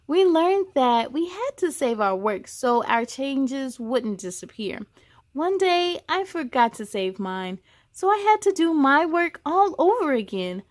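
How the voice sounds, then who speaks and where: Female children's read-aloud host, high voice, expressive storybook delivery, engages young listeners directly. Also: unhurried.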